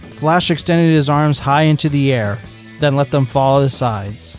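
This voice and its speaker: A man's voice: medium pitch, measured pace. A man reading fan fiction as narrator, in scripted storytelling style. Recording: music under the voice.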